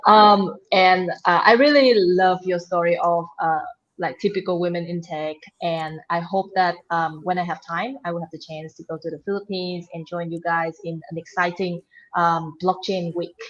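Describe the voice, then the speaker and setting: A woman's voice: lowish voice, fairly even intonation, normal speed, muffled recording. A woman hosting a panel over a video call, upbeat and encouraging. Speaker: host.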